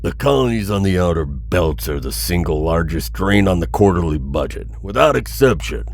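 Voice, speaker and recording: Man playing a very deep, callous corporate executive. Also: lively intonation, moderate pace, creaky, a little hiss or hum. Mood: cold, matter-of-fact. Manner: clinical, matter-of-fact, dismissive. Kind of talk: audio roleplay acting, boardroom recording.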